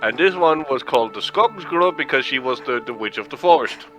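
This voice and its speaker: A man with a bright, forward-sounding voice talks casually alongside a video game. He likes to explain, and does so haltingly, circling back over his words. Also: mid-range voice, animated, soft background music. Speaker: gameplay co-commentator explaining folklore.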